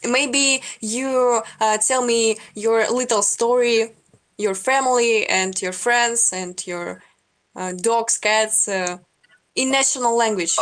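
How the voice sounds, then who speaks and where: A woman's voice: on the high side, takes it slowly, a bit bright. An eighteen-year-old woman from Russia, chatting on a video call in simple, broken English and giggling often. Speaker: stranger on a video chat. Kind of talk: casual video chat.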